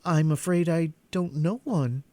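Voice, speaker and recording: A man's voice: high voice, very animated, normal speed, mellow, no room sound, pitched above the performer's own voice. A man reading a storybook aloud and voicing a polite, inquisitive young girl in a raised voice. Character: prim curious young girl.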